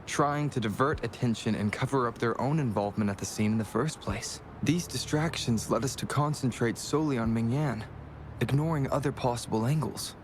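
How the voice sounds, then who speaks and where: A man's voice: medium pitch, fairly quick, noisy background, natural voice. A man playing a composed, analytical investigator. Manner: calm, explanatory recap. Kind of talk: video game voice acting.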